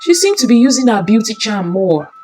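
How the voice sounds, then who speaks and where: A woman's voice: on the high side, very animated, brisk, dark tone, a little hiss or hum. A woman voicing an insinuating middle-aged male schoolteacher in a story. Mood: conspiratorial. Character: leering middle-aged male schoolteachers gossiping about a pupil.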